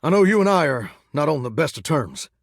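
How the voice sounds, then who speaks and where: Swooping Southern 1950s crooner faucet. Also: male voice, on the high side, big pitch swings, brisk, no room sound, studio quality. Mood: pleading, folksy. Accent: American Southern. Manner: hammy, drawling, old-fashioned rock-and-roll showman. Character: singing faucet, cocky folksy 1950s crooner heartthrob. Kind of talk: theatrical game voice acting.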